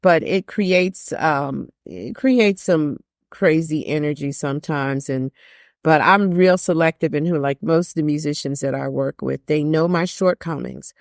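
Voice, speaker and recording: An older woman with a low, deep speaking voice talks frankly as a guest on a podcast. She drives her points home by repeating phrases. The sound is close and dry. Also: a little slow, no room sound. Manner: emphatic, repeats phrases for stress. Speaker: jazz singer, guest. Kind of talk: candid podcast conversation.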